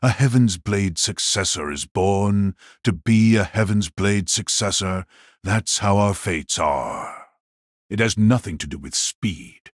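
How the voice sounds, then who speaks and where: A man performs a mocking warrior in an audio drama. The voice is deep and rough-edged, recorded dry. He talks down to his foe with measured, cool condescension. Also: no room sound. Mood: taunting.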